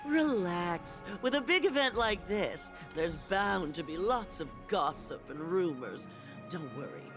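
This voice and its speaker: Breezy show-off game character, lively pitch, music behind. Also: female voice, a little slow. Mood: reassuring. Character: breezy, boastful, reassuring festival-goer. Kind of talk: video game voice acting.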